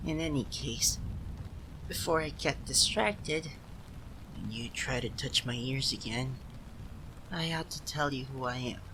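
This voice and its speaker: Man voicing a haughty, flustered cat deity, slow-paced. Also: mid-range voice, background noise, natural voice. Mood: proud, composed. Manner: haughty, flustered, theatrical, easily indignant. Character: banished proud young male forest deity, cursed into cat form. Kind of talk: scripted ASMR roleplay addressed to the listener. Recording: rain sounds over the voice. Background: rain ambience.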